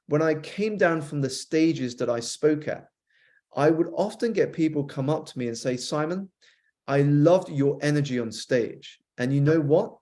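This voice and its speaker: A man with a British accent that has been softened by an American influence, a guest author on a podcast, telling a reflective story fluently with some repeated starts. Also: fairly high pitch, moderate pace. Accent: British, softened by years with an American spouse. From United Kingdom.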